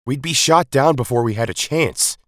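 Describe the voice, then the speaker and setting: A man's voice: medium pitch, moderate pace, fairly bright tone, dry recording, studio quality, pitched above the performer's own voice, natural voice. A man voicing a distrustful male rebel leader in an audio story, challenging and emphatic. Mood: disbelieving.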